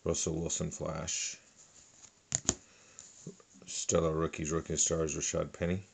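A middle-aged man calmly listing sports cards one by one at a table, with a lot of fillers. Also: a bass voice, slow, creaky, noisy background. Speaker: card collector. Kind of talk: casual card unboxing narration. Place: indoors at a table.

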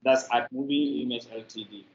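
A man's voice: mid-range voice, fairly even intonation, fairly quick. A middle-aged man with a Nigerian accent, giving conversational answers as an interview guest over a video call. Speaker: interview guest, media company representative. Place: indoor room, on a video call. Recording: echoey, muffled video call audio.

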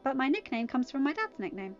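A woman's voice: high-pitched, very animated, a little fast, lo-fi sound, soft background music, pitched above the performer's own voice. Woman playing a cheeky, emphatic teenage princess. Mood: chatty.

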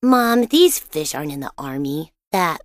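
A woman voicing a boisterous, imaginative and boastful young boy in a cartoon-style video game, with an excitable, dramatic, childlike delivery. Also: sits low, a little slow, no echo, clean studio sound. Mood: eager, chatty. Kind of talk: animated video game voice acting.

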